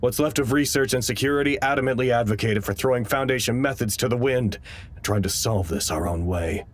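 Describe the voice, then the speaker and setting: A man playing an earnest, anxious research-site staffer in an audio drama, with a measured, hesitant delivery. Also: mid-range voice, lightly acted. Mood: sober, weary reporting. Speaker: actor in an audio drama podcast. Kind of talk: naturalistic audio drama acting, log narration and dialogue.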